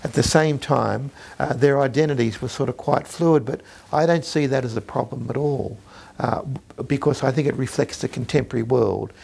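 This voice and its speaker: A researcher speaking in a measured, academic manner. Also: mid-range voice, creaky, darkish tone, husky. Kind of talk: interview.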